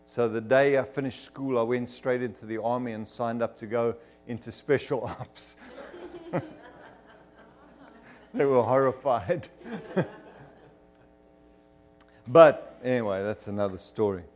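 A man's voice: mid-range voice, measured pace, long pauses, darkish tone, faint music behind. A middle-aged man from Zimbabwe, preaching a sermon as emphatic storytelling with bursts of fervor. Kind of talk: sermon testimony to a congregation.

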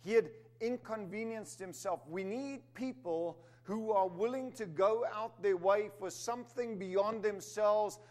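A man's voice: high voice, measured pace, few pauses, a little mellow. Man preaching a sermon. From Zimbabwe, raised in South Africa. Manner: emphatic, rising to stress key words, with humorous asides.

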